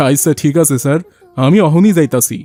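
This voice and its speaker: A man plays a poor, humble rickshaw puller for a cartoon. His voice is high for a man, and he speaks deferentially and plainly in a rural Bangladeshi dialect. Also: fairly quick, mellow, natural voice. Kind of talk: cartoon dialogue acting.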